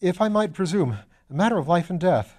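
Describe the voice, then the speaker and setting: Storybook role: man as a frantic, effusive gentleman surgeon, gasping, high and loud. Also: expressive, a little fast. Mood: frantic pleading. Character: agitated, ingratiating gentleman surgeon, breathless and pleading.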